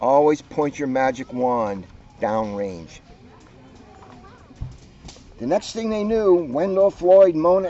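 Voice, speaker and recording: A man's voice: a little high, mellow, highs cut off, slight background noise. A man reading a storybook aloud with playful, joking asides.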